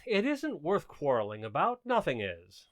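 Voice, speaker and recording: A man's voice: on the high side, huge pitch swings, moderate pace, a bit bright, no echo. Guy doing a coaxing flustered husband in a radio comedy, voice going up and down a lot, dry sound. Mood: defensive, pleading. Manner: hesitant, pleading, earnest. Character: well-meaning, flustered suburban husband, coaxing and defensive. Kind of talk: old-time radio sitcom acting.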